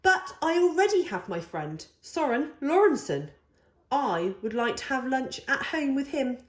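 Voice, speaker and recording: A stubborn little girl from a storybook, acted out by a woman, wordy and emphatic in a childlike way. The voice is fairly high and takes its time, with clear room echo around it. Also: sing-song, a little slow. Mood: hesitant. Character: stubborn, fussy, imaginative little girl, younger sister.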